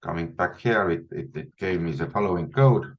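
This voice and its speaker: A man's voice: sits low, normal speed, highs cut off. A man in his forties with an Estonian accent, teaching a live online coding session and thinking aloud, often restarting and repeating words. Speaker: instructor. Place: small room at home, indoors.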